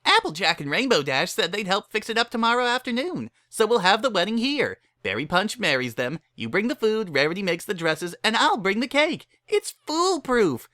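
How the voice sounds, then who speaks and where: A bubbly young mare played by a man in a dramatic story reading. The voice is pushed high and bright, with gleeful, emphatic delivery and cheerful, scheming confidence. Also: sing-song, normal speed, hardly any pauses, dry recording, studio quality, heavily acted. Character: excitable, cheerful young female pony, scheming party planner.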